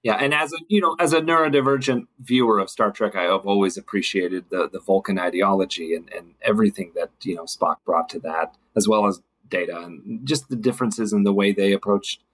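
A man's voice: fairly low pitch, fairly quick, hardly any pauses, slightly rough, no echo, poor audio. A man speaking as a podcast guest in casual discussion.

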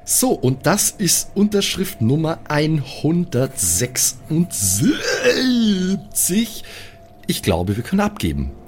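A man playing an earnest, studious academy man in an improvised role-playing audio play, measured and politely interested. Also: on the high side, wildly swooping pitch, faint music behind, heavily acted. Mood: relieved, polite.

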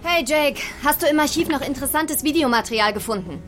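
A curious female coworker with a high voice who keeps probing with questions. Music is clearly audible behind her in the radio play. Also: animated, quick pace.

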